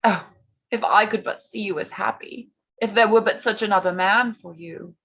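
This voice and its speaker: A woman playing a gentle, earnest young gentlewoman in a period drama reading. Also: medium pitch, normal speed, vocal fry, breathy edge. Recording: dull lo-fi call audio.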